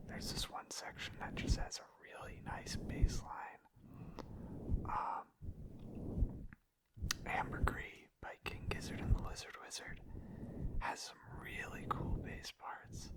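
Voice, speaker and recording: A man talks entirely in a soft, breathy whisper, as an ASMR creator would. He rambles in a casual, meandering way, feeling his way through each thought with hesitant phrasing.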